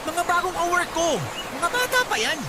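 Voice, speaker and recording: A man's voice: extremely high pitch, moderate pace, heavy background noise. A man playing a defensive, exasperated boyfriend in a radio drama, speaking emphatically. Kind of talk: Tagalog radio drama acting.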